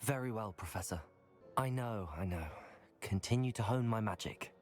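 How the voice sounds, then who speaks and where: A man playing a polite, composed, brave teenage student wizard in a video game, with a British accent and a courteous, measured manner. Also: on the low side, close to an everyday voice. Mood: reassuring. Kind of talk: video game dialogue acting. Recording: music bed behind the voice.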